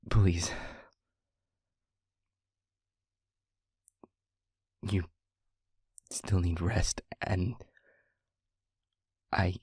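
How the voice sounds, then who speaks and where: A man playing a gentle, weary demon in an intimate audio roleplay, with a soft, halting, breathy delivery that often nears a whisper. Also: sits low, no echo, full character voice. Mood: shaken, pleading. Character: gentle, weary male demon, tender and guarded.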